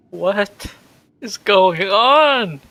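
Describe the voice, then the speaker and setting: Bright-voiced young man reacting to a game. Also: high-pitched, no room sound. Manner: incredulous, exclamatory, blunt slang. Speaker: video game streamer. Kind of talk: casual gameplay reaction commentary.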